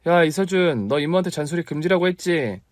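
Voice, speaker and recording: Scatterbrained young aunt, warm and high-pitched, read by a man. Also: animated, a little fast, no echo, studio quality, close to an everyday voice. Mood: teasing.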